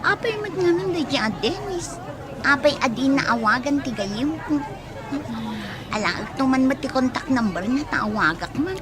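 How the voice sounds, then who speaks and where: High-voiced concerned young friend. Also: female voice, animated, normal speed. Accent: Ilocano. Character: concerned young woman, friend of a lovesick girl. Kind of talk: Ilocano radio drama acting. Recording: noisy outdoor crowd ambience behind.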